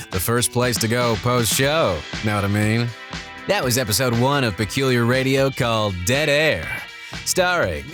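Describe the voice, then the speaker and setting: A man announcing show credits in a playful radio DJ style. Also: medium pitch. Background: guitar music.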